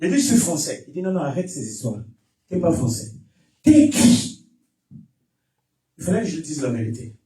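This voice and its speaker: A man with a Congolese French accent preaching a fervent sermon, emphatic and repetitive, often shouting his exhortations. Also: fairly high pitch, big pitch swings, normal speed, long pauses. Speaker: pastor preaching. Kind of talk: fervent Pentecostal-style sermon with testimony and call-and-response. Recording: echoey church PA, distant, music behind.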